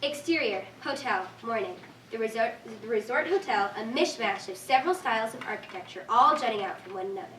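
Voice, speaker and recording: Higher-voiced woman narrating in an echoey room. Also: animated, fairly quick, a bit bright. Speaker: narrator reading stage directions. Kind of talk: scripted narration at a staged reading. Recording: echoey room, distant mic, faint noise.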